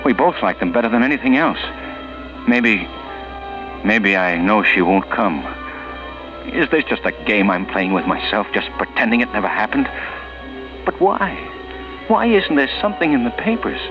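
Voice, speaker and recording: A man's voice: on the low side, animated, moderate pace, long pauses, lightly acted. A man playing a tormented, anxious husband with a hesitant, brooding delivery in a 1940s radio drama. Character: tormented, anxious husband losing grip on reality. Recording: muffled old radio recording with music.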